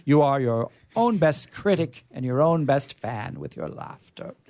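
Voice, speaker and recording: A man hosting a radio show, reading stories aloud in a theatrical, deliberate, emphatic way with casual asides. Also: mid-range voice, very animated, a little mellow, no echo.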